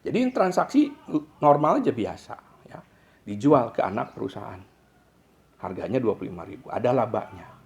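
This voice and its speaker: Indonesian man lecturing, very animated pitch. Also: normal speed, gravelly. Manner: didactic, asks and answers own questions. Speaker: accounting lecturer. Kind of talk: explanatory lecture.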